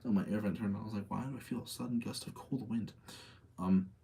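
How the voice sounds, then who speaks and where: A man's voice: on the low side, fairly even intonation, quick pace, creaky, dark tone, breathy edge. A tired man hosting a casual live rating session, chatting with his audience, rambling and thinking aloud as he gives his opinions. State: tired late at night.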